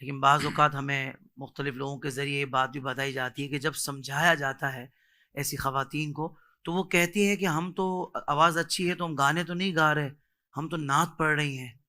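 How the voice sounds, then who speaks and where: A man's voice: on the high side, fairly even intonation, moderate pace, bright, forceful tone. A man hosting a respectful religious interview, deferential in manner and given to devout interjections. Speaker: host interviewing a religious scholar.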